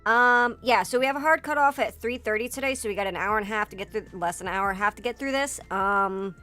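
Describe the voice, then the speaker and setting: A hoarse woman streamer in a casual livestream chat, rambling with lots of fillers and swearing. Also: mid-range voice, quick pace, sharp tone. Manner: rambling, filler-heavy and profane, breaks into laughter. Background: faint background music.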